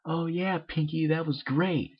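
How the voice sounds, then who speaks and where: A man's voice: fairly high pitch, steady tone, unhurried, slightly rough, pitched above the performer's own voice. A man voicing a flustered, awkward young female cartoon pegasus pony with hesitant, stammering speech, on a muffled home recording.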